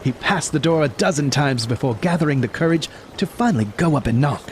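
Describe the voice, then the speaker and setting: A man's voice: a little high, lively intonation, a little fast, a little hiss or hum. A man narrating a scripted audio drama as a storyteller.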